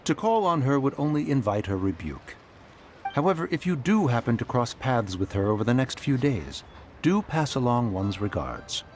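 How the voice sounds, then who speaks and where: A man's voice: mid-range voice, sing-song, normal speed, a little hiss or hum, close to an everyday voice. A man voicing a proud ancient adeptus in human form, speaking in a stately, archaic way. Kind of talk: game voice acting.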